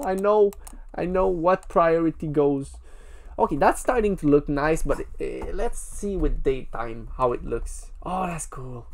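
A man's voice: fairly high pitch, gravelly. Rambling, playful man narrating gameplay. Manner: rambling, playful, talks straight to viewers. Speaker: gaming video creator. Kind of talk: casual gameplay commentary.

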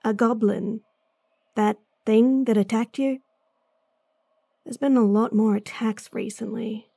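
A woman voicing a gruff, impatient female bounty hunter in a fantasy audio drama, blunt and sardonic, sighing often. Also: fairly high pitch, fairly even intonation, slow, warm tone, dry recording, clean studio sound, heavily acted. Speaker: voice actress.

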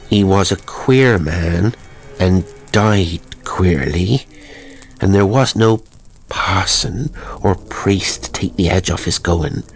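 A man's voice: sits low, slightly rough, exaggerated voice. A man voicing a grim cottage woman with a thin, pinched voice in a horror story. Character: grim, foreboding cottage woman, rumoured witch.

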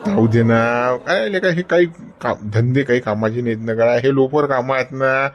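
A man's voice: medium pitch, moderate pace, close to an everyday voice. An actor playing an elderly male friend who coaxes and scolds in a Varhadi Marathi accent. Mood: coaxing. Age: older. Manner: coaxing, chiding with repeated "गड्या".

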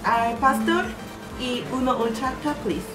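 A young woman chatting cheerfully with friends over a meal in a small restaurant, laughing between quick bursts of speech. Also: high voice. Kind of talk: casual chat. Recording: loud background music.

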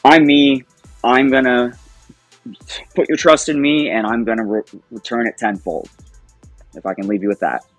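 A man's voice: medium pitch, normal speed, long pauses, dry recording, lo-fi sound, soft background music. A man in his thirties talking as an interview guest over a video call from home, rambling and upbeat, laughing often. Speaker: guest, project founder. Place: home, by a window. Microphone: webcam on video call.

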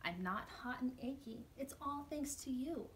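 A woman's voice: sits high, expressive, unhurried, a little hiss or hum, pitched above the performer's own voice. A woman voicing a cheerful storybook bear. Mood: joyful. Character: sick gentle male bear, sweet and grateful.

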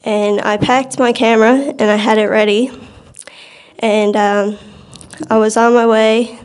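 Teenage girl, very even pitch. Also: a little slow, clean tone. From Bell Island, Newfoundland, Canada. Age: teenager, about 14 to 15. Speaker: storyteller. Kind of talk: casual personal ghost story.

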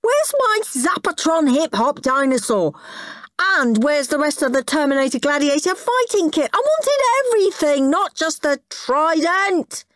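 A woman's voice: high-pitched, sing-song, moderate pace, fairly bright tone, voice pushed up. A woman voicing a bratty, greedy young boy in a children's story, whining and shrieking with indignation. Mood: whiny outrage. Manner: whiny, shrieking, dragged-out complaints.

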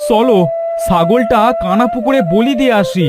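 Remorseful village chief and father, cartoon fairy tale; high male voice, pleading yet consoling. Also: moderate pace, loud music behind the voice. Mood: sorrowful, consoling. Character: remorseful, gentle village headman, grieving father. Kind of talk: melodramatic cartoon acting.

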